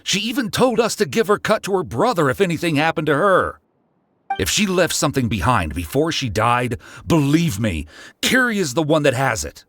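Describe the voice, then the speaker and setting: An actor playing an earnest, reassuring former swindler in a video game. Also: male voice, fairly high pitch, very animated, moderate pace, fairly bright tone. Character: agreeable male accomplice, ex-swindler.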